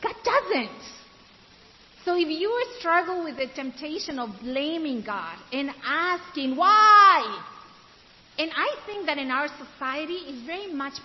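A woman from Ecuador preaching to a room of women, asking them questions and then answering them, and slowing down to stress key words. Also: sits high, very animated. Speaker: women's ministry speaker. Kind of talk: sermon. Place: room with an audience. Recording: dull, hissy recording in a reverberant hall.

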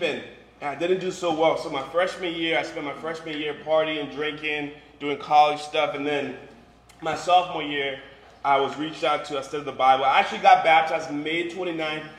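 A man's voice: fairly high pitch, fairly even intonation, normal speed, a bit bright. A man in his twenties or thirties from Liberia, preaching informally as a campus minister in a conversational, joking way. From Liberia, moved to Boston at thirteen. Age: 20s or 30s. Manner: conversational, joking, peppered with "you know" and "right". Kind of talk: informal sermon to a live congregation. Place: large room before a congregation, some ambient noise. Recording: echoey room, faint background noise.

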